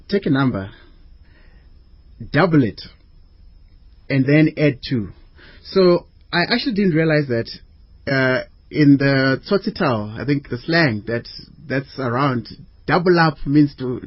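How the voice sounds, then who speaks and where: Measured man on dry, dull radio. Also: medium pitch, no echo. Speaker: interview guest, maths educator. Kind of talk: explanatory radio interview.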